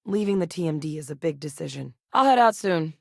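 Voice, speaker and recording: An actress playing a serious, driven military scientist in a sci-fi video game, speaking in a measured, earnest, dryly wry manner. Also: female voice, low voice, animated, creaky, no echo, studio quality. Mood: measured, determined, then urgent. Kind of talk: acted sci-fi game dialogue.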